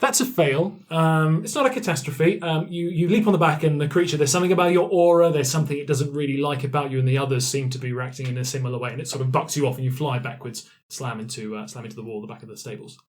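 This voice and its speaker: A man's voice: fairly high pitch, fairly even intonation, fast talker, few pauses. A man narrating an improvised tabletop fantasy game as game master, in a playful, hesitant way.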